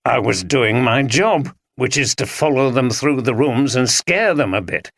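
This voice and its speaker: A man's voice: mid-range voice, animated, a little slow, fairly bright tone, dry recording. A man voicing a jumpy, nervous male actor who talks agitatedly.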